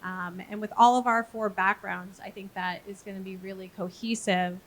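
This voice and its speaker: A woman's voice: mid-range voice, steady tone, normal speed, a bit bright. A woman from Maine in the United States moderating a live conference panel, chatty and self-deprecating, with lots of fillers. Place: conference stage.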